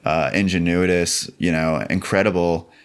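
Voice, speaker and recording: A man of about thirty in a casual chat as a podcast guest, explaining things in a loose, colloquial, halting way. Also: deep voice, steady tone, smooth. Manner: loose, colloquial, halting with many "like"s and "you know"s.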